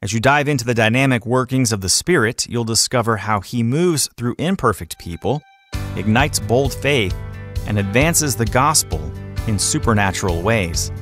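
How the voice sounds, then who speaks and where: A man's voice: medium pitch, lively intonation, normal speed, a bit bright. A man with an American accent reading scripted promotional narration as a radio program announcer. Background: guitar music.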